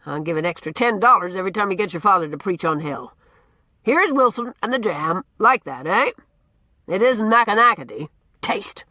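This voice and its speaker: A woman voicing a blustering, gruff old man who booms out orders and repeats himself as he teases. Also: expressive, normal speed, bright, forceful tone, dry recording, clean studio sound. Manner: booming, repeats himself, teasing.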